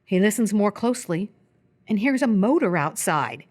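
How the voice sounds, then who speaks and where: A woman's voice: mid-range voice, lively intonation, normal speed, a little mellow. A woman narrating scripted stage action for a live radio theatre piece.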